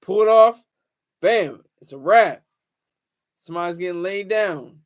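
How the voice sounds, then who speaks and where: A man's voice: high-pitched, lively intonation, measured pace. A man hosting a casual livestream, rambling, teasing viewers and stumbling over restarts. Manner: stammers and restarts often. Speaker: livestream host.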